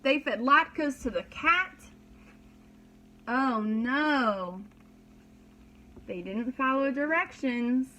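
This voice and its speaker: A woman's voice: high voice, extremely slow, pauses often, bright, forceful tone. A woman reading a children's story aloud as a preschool teacher, dramatically and expressively, with simple explanations for young listeners.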